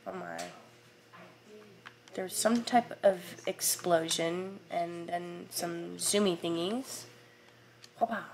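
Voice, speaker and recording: A young woman with a raspy, hoarse voice, just getting over a sore throat, chatting excitedly through a let's-play of a game she has never played. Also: lowish voice, slow, pauses often, a little hiss or hum. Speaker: gaming YouTuber. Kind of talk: casual let's-play commentary.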